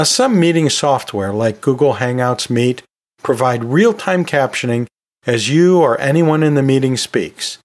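Guy doing a podcast with teaching tips, mid pitch, really animated melody, encouraging and a bit jokey. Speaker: podcast host and college teacher. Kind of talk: conversational solo podcast advice.

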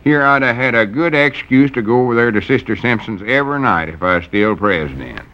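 An actor playing a fussy, bashful old storekeeper, talking in a drawling, folksy way that gets easily flustered, in a vintage radio comedy. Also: male voice, medium pitch, animated, brisk, bright, forceful tone, a little hiss or hum. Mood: grumbling. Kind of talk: 1930s-50s radio comedy acting. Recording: dull old radio broadcast recording.

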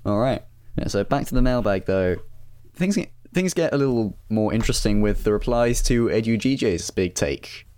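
Low-pitched man hosting a casual podcast. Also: lively intonation, moderate pace, a little mellow, clean tone. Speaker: podcast host. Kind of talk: casual chat, moderating and reading listener messages.

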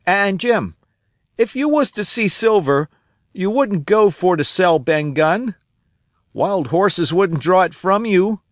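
A man voicing an eager, cunning old marooned sailor who talks in a rough rustic dialect. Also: sits high, expressive, slow, no echo, clean studio sound, voice pushed up. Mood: anxious. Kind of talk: audiobook character voice.

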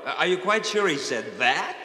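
An actor playing a boastful, reckless gentleman with pompous, blustering, flowery speech in a 1950s radio drama. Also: high voice, lively intonation, normal speed. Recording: 1950s radio, echoey reverberant hall.

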